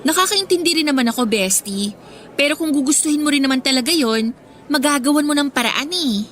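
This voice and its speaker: A woman's voice: high voice, a little fast, natural voice. A woman playing an ambitious, pushy teenage student leader in a Filipino radio drama, talking persuasively and insistently with a Filipino accent. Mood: insistent, exasperated. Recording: music under the dialogue.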